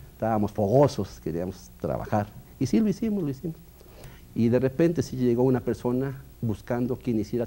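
Male animator, fifties, Mexican accent, very mellow tone, recounting memories indoors. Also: medium pitch. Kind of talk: anecdotal interview. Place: wood-panelled room indoors. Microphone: lapel.

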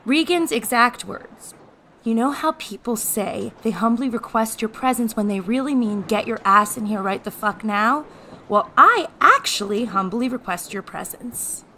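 Loyal, informal teenage girl in fantasy drama: bright, sharp, highish voice. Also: animated, measured pace, slight background noise, full character voice. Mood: breezy. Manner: breezy, informal. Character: plucky, casual, loyal modern teenage girl.

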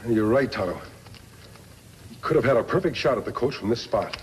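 Man playing a calm, commanding western hero. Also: medium pitch, natural voice. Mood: decisive. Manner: crisp, deliberate, authoritative. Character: upright masked lawman hero, calm and commanding. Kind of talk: 1950s radio western acting. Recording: noisy vintage 1950s radio recording.

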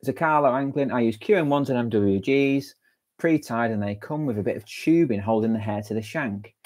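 A man's voice: medium pitch, fairly quick, a little mellow. A young man hosting a live angling stream, chatting loosely with fillers and restarts as he answers viewer comments into a wired earphone mic. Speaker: angling channel host. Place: small indoor room with a branded backdrop. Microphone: wired earphone headset mic.

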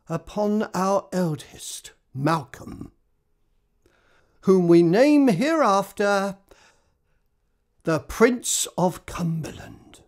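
A woman playing a gracious, emotional king in a Shakespearean radio drama, stately and effusive, with drawn-out phrasing. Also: mid-range voice, a little mellow. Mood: moved, ceremonial. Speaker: actor in an amateur radio drama.

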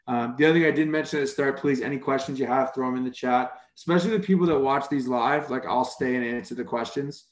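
A man's voice: mid-range voice, fast, hardly any pauses, echoey room. A man from Canada presenting a football coaching clinic over a video call, explaining defensive structures in a casual way with many fillers. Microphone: video call microphone.

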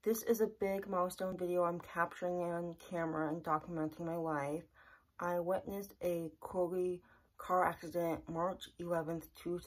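A woman's voice: fairly low pitch, little pitch movement, moderate pace, rough recording. A young woman talking earnestly and plainly to the camera in a casual personal vlog from a small bedroom. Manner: earnest, repetitive, plain-spoken. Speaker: vlogger. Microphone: camera in front at close range.

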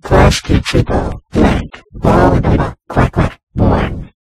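A synthetic male voice playing a demonic grim reaper creature, harsh and crackling through heavy distortion, in a slow chant. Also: darkish tone, dry recording. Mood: menacing growl. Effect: heavy distortion voice effect.

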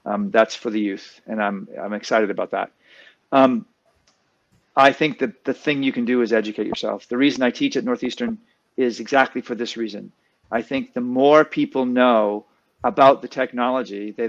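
Halting reflective man on a dull dry call. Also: medium pitch, vocal fry, no echo. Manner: reflective, halting, many fillers. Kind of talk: panel discussion on a video call.

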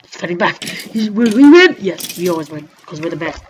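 Excitable rambling woman gaming. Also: on the low side, brisk, a little hiss or hum. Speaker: gaming commentator. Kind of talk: casual let's-play commentary. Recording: dull lo-fi mic with faint music.

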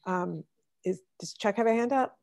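A woman's voice: medium pitch, moderate pace, darkish tone. A woman leading an online art discussion, calling on participants and reflecting on their comments over a video call. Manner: thoughtful, calls on participants by name. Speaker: discussion leader.

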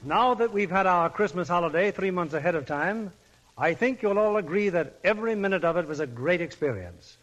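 A man's voice: high-pitched, expressive, a little fast, a bit bright. A man hosting a 1940s radio show, genial and jovial. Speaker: radio show host and producer. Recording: dull old 1940s radio broadcast.

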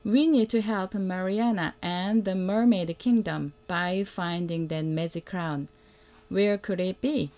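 A woman reading a children's picture book aloud as a mother would, in a simple, deliberate way that invites the young listener to join in. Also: mid-range voice, darkish tone. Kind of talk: read-aloud children's storytelling.

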